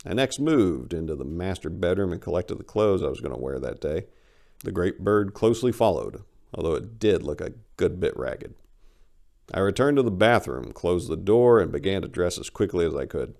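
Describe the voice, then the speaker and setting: A man reads a short story aloud in a fairly low voice. His intonation swings widely as he narrates and acts out the dialogue. The delivery is theatrical and exasperated. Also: sing-song, normal speed, creaky, darkish tone. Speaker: story reader. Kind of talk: dramatic reading of a first-person short story.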